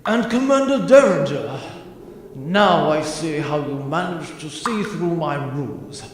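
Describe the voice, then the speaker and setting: A composed, eloquent old-guard rebel commander played by a man from a sci-fi audio drama. He speaks formally and deliberately. His voice rings through a long cavernous reverb over clear rumbling noise. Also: animated. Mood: calm, bitter. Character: grave veteran commodore turned rebel, composed and disillusioned.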